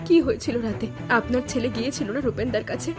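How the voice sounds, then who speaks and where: Young wife female voice actor, anguished and earnest, quiet-natured but firm, cartoon drama over a clear music bed. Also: a little high, a little fast, lightly acted. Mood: pleading. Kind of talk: Bengali cartoon melodrama voice acting.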